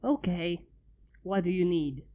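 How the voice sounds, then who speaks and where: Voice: medium pitch, slow, lo-fi sound. A fanfiction reader voicing an earnest young female unicorn scholar.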